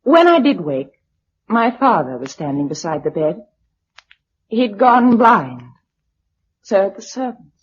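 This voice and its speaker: A woman's voice: medium pitch, very animated, a little slow, pauses often, slightly rough. Well-bred young Englishwoman, radio play, shaken and vulnerable, hesitant, swooping intonation, dull lo-fi sound. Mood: anxious recounting. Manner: genteel, hesitant, emotional. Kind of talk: radio drama acting. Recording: dull lo-fi radio drama recording.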